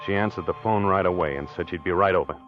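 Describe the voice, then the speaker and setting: Man acting a very low-voiced jealous American murderer, old radio. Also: quick pace, music in the background, close to an everyday voice. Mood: calm, calculating. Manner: confessional, insistent, needy. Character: jealous, obsessive man who murdered his wife, narrating his crime. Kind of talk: 1940s radio mystery drama acting. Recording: dull vintage 1940s radio recording.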